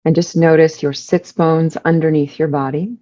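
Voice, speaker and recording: Low-pitched woman leading a guided meditation. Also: fairly even intonation, warm tone, lo-fi sound. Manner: measured, with pauses between short phrases. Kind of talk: guided meditation, slow soothing instruction.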